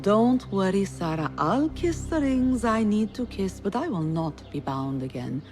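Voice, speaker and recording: An actress playing a proud, witty, defiant court poet in a video game, with a poetic, theatrical delivery. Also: female voice, medium pitch, sing-song, unhurried, a little mellow, faint music behind. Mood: proud, wry. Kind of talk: dramatic video game acting.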